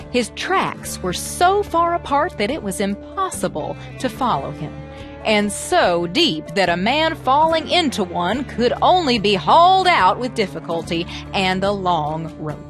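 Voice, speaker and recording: A woman telling folk tales as a presenter, with expressive, theatrical, emphatic phrasing. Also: on the high side. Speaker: storyteller and presenter. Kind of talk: animated storytelling narration of American folklore.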